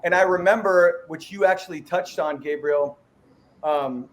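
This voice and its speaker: High-voiced chatty male podcast host. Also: unhurried. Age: middle-aged. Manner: chatty, rambling, jokey. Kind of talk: casual interview chat.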